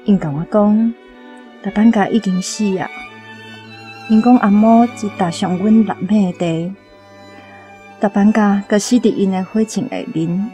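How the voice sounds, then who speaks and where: A woman's voice: medium pitch, unhurried, long pauses, dark tone, soft background music. A woman with a Taiwanese accent giving dramatic first-person narration in a radio drama.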